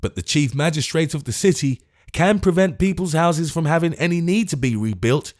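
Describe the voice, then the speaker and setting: A man voicing a choleric, frightened old gentleman who pleads in a shrill, frantic way. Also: animated, a little slow. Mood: pleading, indignant. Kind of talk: audiobook character voice.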